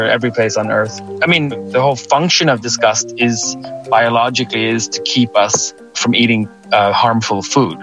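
A man from Sweden, a museum co-founder, talking in an interview with many fillers and restarts and lively emphasis. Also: mid-range voice, rough recording.

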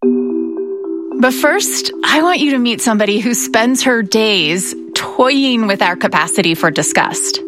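A woman hosting a podcast, narrating a script and interviewing a guest with clear, measured delivery. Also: a little high, lively intonation, over music. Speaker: podcast host.

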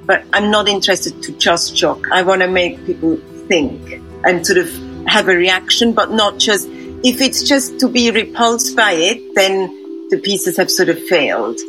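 A woman's voice: medium pitch, expressive, a little slow, a bit bright, faint music behind, rough recording. A woman from Switzerland, a sculptor, speaking thoughtfully in an interview and searching for words. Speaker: sculptor, guest.

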